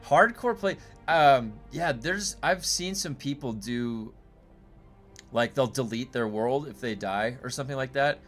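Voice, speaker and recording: A man with a loud, booming voice, chatting loosely and profanely on a game live stream from home, with lots of fillers. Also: a little slow, soft background music. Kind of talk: casual live-stream chat. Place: home room.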